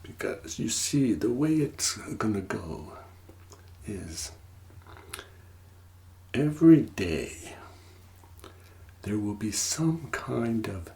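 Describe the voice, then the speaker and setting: A man playing a mysterious, courteous manor master and game host in a soft, partly whispered, formal ASMR roleplay voice. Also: on the low side, sing-song, gravelly, heavily acted. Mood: ominous, cautioning. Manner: slow, deliberate, formal, long pauses.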